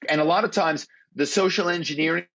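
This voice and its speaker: A man's voice: on the high side, fast, fairly bright tone. A man, a guest in a conversational interview, explaining how phone tricks get people to give away information. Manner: explanatory, uses phone-call anecdotes. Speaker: guest, former corporate spy.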